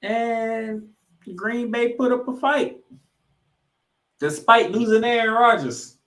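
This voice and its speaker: A man hosting a sports podcast, giving football commentary in an emphatic way, with dramatic pauses and repeated phrases. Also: very high-pitched, fairly bright tone.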